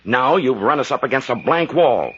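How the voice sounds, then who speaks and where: A man's voice: medium pitch, very animated, moderate pace, a bit bright. An actor playing a stern, impatient doctor and father, commanding and accusing, in 1940s radio adventure style. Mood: angry. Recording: dull, noisy vintage radio recording.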